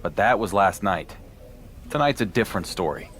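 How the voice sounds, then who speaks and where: A man's voice: lowish voice, lively intonation, normal speed, a bit bright. A man playing a cocky, defiant champion wrestler in a video game, confident and pointed in his talk. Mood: cocky, needling. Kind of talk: dramatic video game dialogue. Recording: faint background noise.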